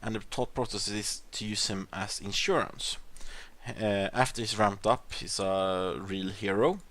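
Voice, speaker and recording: Swedish-accented man, lowish voice, rambling gameplay talk. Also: steady tone, a little slow, a bit bright. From Sweden. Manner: rambling, hesitant, many fillers and restarts. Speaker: gaming channel host. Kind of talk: casual gameplay commentary.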